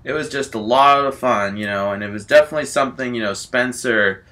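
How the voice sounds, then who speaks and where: A man improvising a rambling comic story in an excitable, giggly manner, often falling back on repeated filler phrases. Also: medium pitch, a little fast. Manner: excitable, giggly, repetitive filler phrases like "you know" and "all that stuff". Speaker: amateur storyteller in a homemade video.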